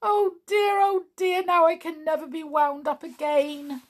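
A woman voicing a gentle male clockwork clown toy who whimpers and sobs in a high, quavering voice. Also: level tone, normal speed, lightly acted. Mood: woeful.

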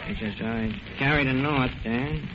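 A man's voice: mid-range voice, fairly even intonation, normal speed, close to an everyday voice. Guy doing a brash slangy tavern manager, flustered and bluffing, old radio comedy, muffled noisy recording. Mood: flustered, muttering. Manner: malapropisms and bad grammar, bluffing. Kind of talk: 1940s radio comedy acting.

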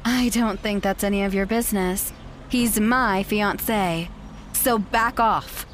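A woman's voice: medium pitch, takes it slowly, slight background noise, close to an everyday voice. A woman playing a cold, condescending ex-fiancée. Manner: condescending, dismissive. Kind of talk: audio drama acting.

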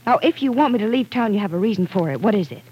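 A persistent, husky-voiced radio-drama woman. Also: medium pitch, lively intonation, darkish tone, poor audio. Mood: probing. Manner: firm, measured, probing. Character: persistent, direct, earnest working woman questioning a friend. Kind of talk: old-time radio drama acting.

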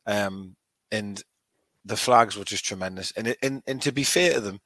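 A man's voice: lowish voice, normal speed, a bit bright, no room sound. A man with a Geordie accent talking bluntly and frankly as a podcast co-host. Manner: blunt, frank, coarse-spoken. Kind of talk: casual chat.

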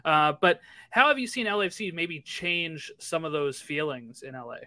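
A male co-host asks his guest questions in casual conversation. His voice sits fairly high and sounds bright and crisp, with a dry, close recording and little room sound. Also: normal speed, no echo. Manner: conversational, checks in with "right?". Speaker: podcast co-host. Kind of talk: casual chat, asking questions.

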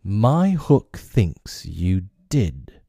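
Male narrator as villainous pirate captain, theatrical, drawn-out, silky menace, widely swinging pitch, dry recording. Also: sing-song, gravelly, darkish tone, no echo, exaggerated voice.

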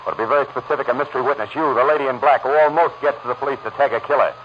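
A man's voice: mid-range voice, rapid-fire, lightly acted. A man playing a tough, wisecracking private detective in 1940s radio noir, with clipped, wry, slangy delivery. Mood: sardonic. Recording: muffled, very noisy vintage radio recording.

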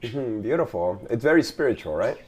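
A man hosting a relaxed interview, asking loose questions and backing the guest up with easy affirmations. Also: medium pitch, brisk, slight background noise, poor audio. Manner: easygoing, frequent "mm-hmm" and "beautiful". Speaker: podcast host. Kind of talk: casual interview chat.

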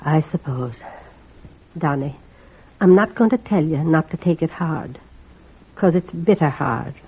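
A stern older guardian from 1940s radio drama. She speaks low and rough-edged, plainly and gravely, with care underneath. The recording is thin and boxy. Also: expressive, measured pace, heavily acted. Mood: grave, sorrowful. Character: stern but caring older guardian woman. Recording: thin, narrow-band old radio recording.

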